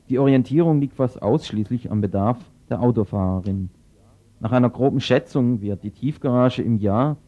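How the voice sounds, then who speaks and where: A man reading a scripted news report as a radio reporter. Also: mid-range voice. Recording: slightly dull lo-fi radio recording.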